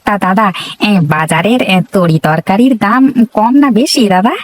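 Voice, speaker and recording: A woman's voice: mid-range voice, lively intonation, moderate pace, natural voice. A woman voicing a chatty, food-loving young village husband in a cartoon, full of exclamations. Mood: friendly chatting. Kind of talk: cartoon voice acting.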